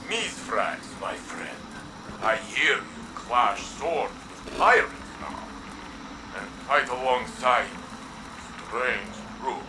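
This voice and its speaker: An actor playing a noble, sorrowful warrior in a video game, earnest and formal. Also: male voice, mid-range voice, lively intonation, slow pace, noisy background. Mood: warm, sorrowful. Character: noble, sorrowful male warrior, loyal friend. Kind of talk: video game character acting.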